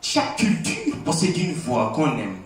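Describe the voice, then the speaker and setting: A man's voice: on the high side, wildly swooping pitch, unhurried. A man reciting slam poetry in a solemn, impassioned, declamatory manner. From Mauritania. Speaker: slam poet. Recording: very echoey, distant mic, faint noise.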